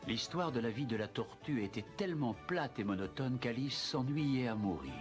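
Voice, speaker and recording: A man's voice: mid-range voice, lively intonation, normal speed, music in the background, lightly acted. A man narrating a children's cartoon story in scripted storybook style. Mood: storytelling.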